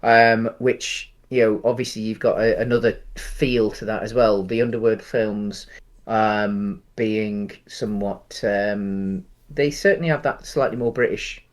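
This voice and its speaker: A man's voice: fairly low pitch, fairly even intonation, unhurried. A man co-hosting a podcast, giving his opinions in a casual film review chat in a hesitant, meandering way. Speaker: podcast co-host.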